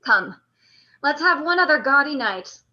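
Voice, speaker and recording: A proud, lovesick general played by a woman declaims in Shakespearean style for a radio play. The voice is high and forceful, full of passion. The audio is slightly dull and lo-fi. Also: expressive, a little slow, full character voice. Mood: rallying, proud. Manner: declamatory, impassioned.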